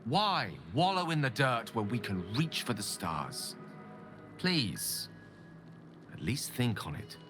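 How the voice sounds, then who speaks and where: A man's voice: mid-range voice, sing-song, slow. A man playing an eloquent, ambitious and embittered wizard in a video game, speaking with earnest, theatrical and formal articulation. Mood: earnest, persuasive. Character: ambitious, eloquent, embittered male wizard pleading his case. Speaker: video game companion character. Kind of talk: dramatic game dialogue acting. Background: background music.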